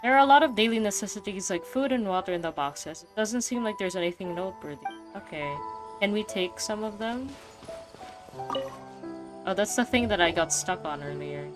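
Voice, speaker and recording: A woman's voice: mid-range voice, lively intonation, normal speed, over music. A woman giving casual playthrough commentary and reading game text aloud, slangy and joking. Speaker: game streamer.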